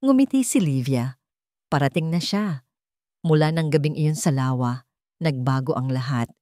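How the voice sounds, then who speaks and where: A woman's voice: sits low, animated, normal speed, dark tone, no room sound, clean studio sound. A woman narrator reading a scripted, dramatic love story.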